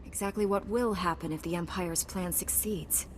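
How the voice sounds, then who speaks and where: A woman's voice: lowish voice, fairly even intonation, normal speed, slight background noise, natural voice. A woman voicing a composed, confident warrior heroine in a science fiction video game. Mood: composed, firm.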